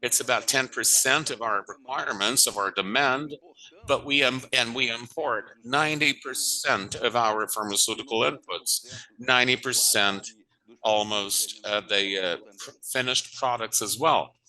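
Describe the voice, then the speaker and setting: A man interpreting a conference panel talk, halting often and restating phrases as he goes. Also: medium pitch, pushed and bright, rough recording.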